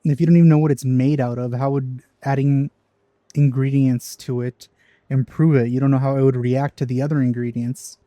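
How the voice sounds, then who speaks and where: A man's voice: mid-range voice, fairly even intonation, moderate pace, mellow, dry recording. A man giving casual let's play commentary as a gaming channel host.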